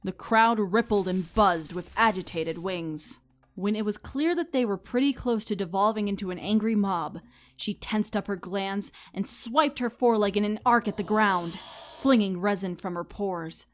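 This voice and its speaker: A woman's voice: medium pitch, normal speed. A woman reading scripted story narration in a dramatic, storytelling style.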